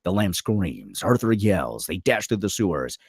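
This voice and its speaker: Animated husky man narrating a script. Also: sits low, a little slow, no room sound, studio quality. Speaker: narrator reading stage directions. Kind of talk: dramatic scripted narration at a table read.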